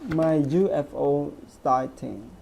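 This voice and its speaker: Slow low-voiced woman teaching grammar. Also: gravelly, warm tone, slight background noise. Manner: slow, repeats okay and everyone often, refers to herself as teacher. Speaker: English teacher. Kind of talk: online grammar lesson.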